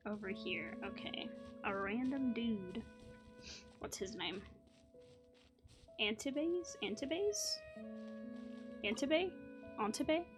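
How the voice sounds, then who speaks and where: A fairly high female voice in casual gaming commentary. She is playful and slangy, talking back to the game over its music. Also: lively intonation, takes it slowly, pauses often. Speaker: gaming video creator. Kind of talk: casual gameplay reaction commentary. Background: video game music.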